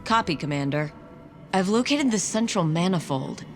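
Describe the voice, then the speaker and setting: Woman voicing a focused engineer, brisk technical reports, loud game music behind. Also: fairly low pitch, animated, natural voice. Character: capable female engineer, focused. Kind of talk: video game cutscene dialogue. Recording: game audio with loud score.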